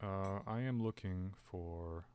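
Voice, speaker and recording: A man's voice: low voice, takes it slowly, a little mellow, slight background noise. A young man streaming a VR game, chatting casually into his headset mic, rambling with lots of "like" and "uh". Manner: rambling, fills talk with "like" and "uh". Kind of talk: casual live-stream chat.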